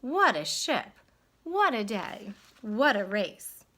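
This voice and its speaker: A woman's voice: mid-range voice, big pitch swings, slow, fairly bright tone, exaggerated voice. A woman voicing a tiny male mouse sailor from a storybook, polite, earnest and plucky. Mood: exhilarated.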